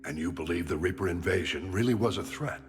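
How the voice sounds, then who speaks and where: Man as stern, very deep-voiced older admiral. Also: natural voice. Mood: stern, grave. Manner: measured, grave, authoritative. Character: gruff older male military admiral, stern but loyal. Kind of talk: cinematic science fiction game dialogue. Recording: music under the dialogue.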